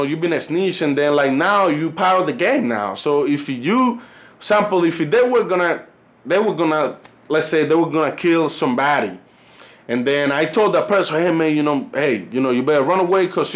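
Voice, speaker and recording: Young Colombian man with a higher voice. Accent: Colombian Spanish. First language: Spanish. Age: 20s. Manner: rambling, filler-heavy, says "you know" and "fellas" a lot. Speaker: YouTube channel host. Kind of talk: casual vlog commentary.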